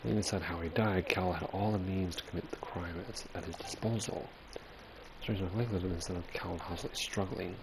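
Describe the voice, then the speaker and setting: A man's voice: low voice, steady tone, brisk. A man giving casual gameplay commentary, muttering as he thinks aloud and reads. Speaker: gamer recording a playthrough. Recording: clear game noise behind voice.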